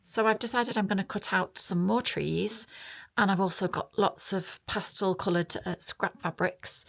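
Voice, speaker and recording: A British woman giving a casual, chatty craft tutorial as a vlog host. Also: lowish voice. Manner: chatty, informal.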